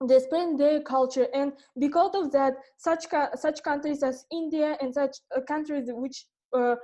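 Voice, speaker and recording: High, mellow-voiced woman, halting debater. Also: steady tone. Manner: halting, repeats words. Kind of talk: competitive debate speech. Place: online debate call.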